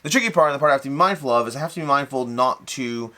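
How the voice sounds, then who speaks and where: A man presents a casual recap as the host of a hobby review channel. His voice sits at an average male pitch and moves at a rapid clip. He is talkative and unscripted, rambling a bit as he qualifies and rephrases his own statements. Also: pushed and bright.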